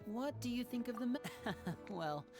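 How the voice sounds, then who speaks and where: Casting: diplomatic, protective young sibling, medium pitch with lively movement, bright-edged anime game delivery kept reassuring, faint music. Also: female voice, moderate pace. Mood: friendly. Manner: reassuring, articulate. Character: composed young member of orphan family, friendly, protective, diplomatic. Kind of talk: English anime game dub.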